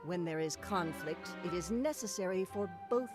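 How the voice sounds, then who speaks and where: A woman's voice: fairly low pitch, expressive, normal speed, close to an everyday voice. Woman voicing a female game professor, stern, prim, formal, admonishing, music behind. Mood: stern, reproving. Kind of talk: scripted visual novel voice acting. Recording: background music.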